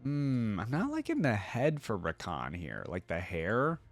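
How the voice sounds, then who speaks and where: A man's voice: on the low side, huge pitch swings, measured pace, soft background music. A man hosting a reaction video, giving casual, informal commentary and thinking aloud as he goes.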